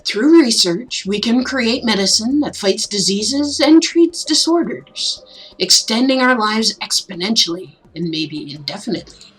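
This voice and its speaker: A woman voicing an intense, visionary male medical researcher, speaking in a deliberate, grandiose way in a visual novel reading. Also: a little high, animated, fairly bright tone, slightly rough, exaggerated voice, low-quality recording.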